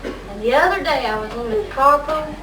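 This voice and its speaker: A woman's voice: high-pitched, animated, moderate pace. A woman talking informally in an amateur home-video setting. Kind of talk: informal amateur performance and chat. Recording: distant echoey home video, noisy crowd.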